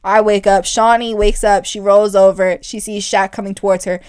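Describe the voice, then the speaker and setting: Young woman, podcast co-host, casual rambling chat, animated, dry close mic. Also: normal speed, clear, resonant tone, no room sound. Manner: animated, rambling, gets loud and shrill when excited.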